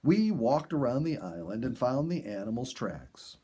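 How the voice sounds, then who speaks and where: Husky, mellow male storyteller. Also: mid-range voice, big pitch swings, moderate pace. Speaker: audiobook reader and host. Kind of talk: expressive storybook narration.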